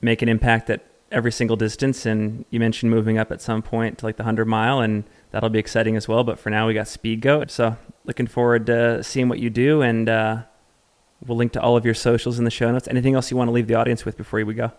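A man's voice: medium pitch, little pitch movement, fast, darkish tone. A man hosting a podcast interview in a casual, informal, conversational way. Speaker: podcast host.

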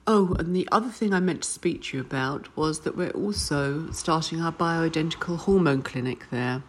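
A woman's voice: deep voice, moderate pace, husky. A woman speaking enthusiastically as a podcast guest, full of praise and repeated words. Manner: warm, enthusiastic, repeats "lovely". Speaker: guest, retreat owner. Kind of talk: casual podcast chat.

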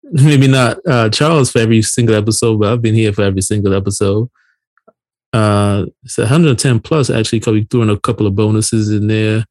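A man's voice: lowish voice, steady tone, brisk, a little mellow, no echo. A man in his late thirties from Barbados, co-hosting a podcast in casual conversation. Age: late 30s. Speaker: podcast co-host.

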